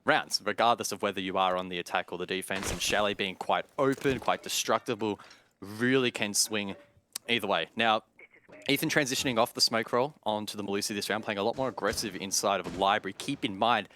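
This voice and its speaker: A man commentating on a competitive shooter match, explaining tactics in a measured way. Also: lowish voice, bright, forceful tone, a little hiss or hum. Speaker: esports commentator. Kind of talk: live analysis and commentary of a competitive shooter game. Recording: music and gunfire behind the voice.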